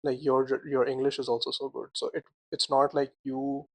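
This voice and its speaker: A young man co-hosting a casual podcast chat, animated, laughing often and filling his talk with "like" and "right?". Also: mid-range voice, normal speed, dry recording. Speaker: podcast co-host.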